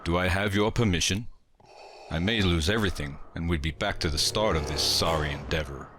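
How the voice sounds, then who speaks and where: Man playing a low-voiced meticulous imperial inspector. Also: a little fast, fairly bright tone, a little hiss or hum. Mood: grave, calculating. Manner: precise, formal, clipped. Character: shrewd, meticulous, self-assured male imperial inspector. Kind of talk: dramatic audio comic dub.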